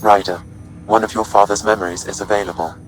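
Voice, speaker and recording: Measured synthetic AI voice, voiced by an actor, very low, even delivery. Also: faint music behind, natural voice, low-quality recording. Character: calm synthetic AI companion voice. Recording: game audio with faint noise.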